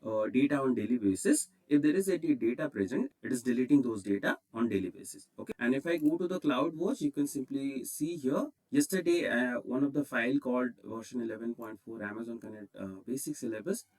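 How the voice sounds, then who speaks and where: A man with an Indian accent presenting a step-by-step software tutorial in an instructional way, often checking in with "okay". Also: mid-range voice, expressive, a little fast, hardly any pauses, recorded from a distance, poor audio.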